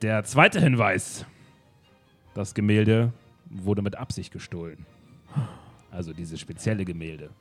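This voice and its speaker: A man's voice: fairly low pitch, a little slow, long pauses, faint music behind. A man playing a pompous detective in an improvised radio play, declaiming with theatrical emphasis. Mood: grandiose. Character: pompous theatrical male detective, grand speeches. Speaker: improv performer.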